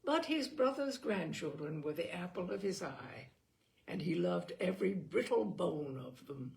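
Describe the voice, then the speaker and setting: A woman reading literary fiction aloud as an audiobook narrator, in a measured manner with the odd stumble. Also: fairly low pitch, big pitch swings, creaky, darkish tone. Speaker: audiobook reader.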